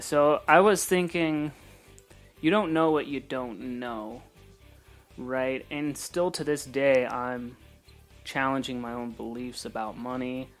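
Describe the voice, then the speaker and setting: A man in his late twenties muses aloud about growth and belief, wandering from thought to thought in an easy, reflective way. His voice sits at an ordinary middle pitch, and soft music plays faintly behind him. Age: 20s. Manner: reflective, rambling, self-talking. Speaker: vlogger. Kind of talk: casual motivational monologue.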